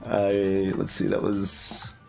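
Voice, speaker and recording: A man's voice: sits low, monotone, slow pace, gravelly, dark tone. A man doing casual game commentary as a streamer, thinking aloud in a hesitant, analytical way. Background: game music.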